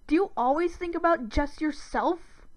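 A woman's voice: high voice, moderate pace, pitched above the performer's own voice, natural voice. A woman playing an angry, fed-up boy who talks scornfully to his sister in a read-aloud story. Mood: indignant.

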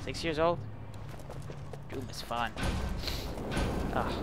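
A man's voice: medium pitch, slow pace. A young man with a slight cold, chatting in a rambling way as he comments on his gameplay. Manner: rambling, chatty, muttering reactions to the game. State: stuffy from a cold. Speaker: let's play host. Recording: voice over loud game music.

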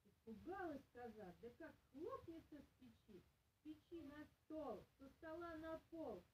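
A woman in her thirties reading a children's folk tale aloud in an expressive storytelling style. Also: sits high, unhurried, a little hiss or hum. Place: small indoor room with bare walls. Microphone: camera a few metres away. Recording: roomy, distant, muffled camera microphone.

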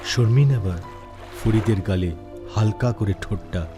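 Male storyteller-host for horror audio: measured dramatic narration, slightly low, prominent music underneath. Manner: measured, suspenseful. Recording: music behind the voice.

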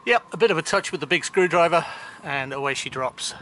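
A middle-aged man with a bright, forward voice talks through his repair jobs on a sailboat. He sounds chatty and matter-of-fact, explaining each step plainly. A faint hiss of outdoor noise sits behind him on a small handheld camera. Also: fairly high pitch, expressive, brisk, low-quality recording. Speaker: sailing vlogger. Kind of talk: casual vlog narration. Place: on a sailboat and beside its hull in a boatyard. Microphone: handheld action camera.

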